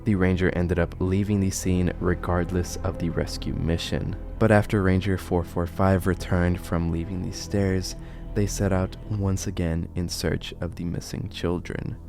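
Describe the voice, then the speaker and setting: A man's voice: sits low, flat delivery, normal speed, gravelly, darkish tone, faint music behind. A man narrating and commenting on horror videos as an online host.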